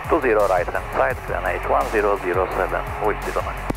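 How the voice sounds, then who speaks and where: Male pilot, procedural radio readbacks, fairly low voice with clear fry. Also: fast talker, over music. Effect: aviation radio transmission.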